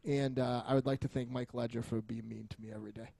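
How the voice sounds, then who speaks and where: A man's voice: mid-range voice, little pitch movement, fast talker, creaky. A man chatting casually as a podcast co-host, rambling and joking with frequent chuckles and some swearing. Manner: jokey, foul-mouthed, rambling, often chuckling.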